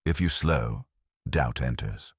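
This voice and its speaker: Smug male schemer for a mystery audiobook, read by a male narrator: pompous, precise, very deep, animated. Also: slow pace, breathy edge, no echo, studio quality, heavily acted. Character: smug, theatrical male villain, pleasantly menacing schemer.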